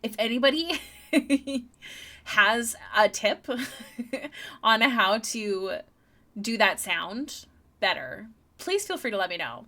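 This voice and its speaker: A woman's voice: fairly high pitch, big pitch swings, takes it slowly, pauses often, bright, forceful tone. A young woman streaming her artwork, chatting casually with viewers and often stumbling over and repeating her words. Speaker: streaming artist. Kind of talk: casual live-stream chat while drawing.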